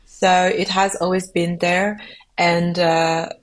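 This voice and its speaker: A young adult woman, a French native speaker, answering podcast questions reflectively and pausing often to find her words. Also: on the low side, level tone. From Belgium. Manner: reflective, searching for words with frequent ums. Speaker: musician guest.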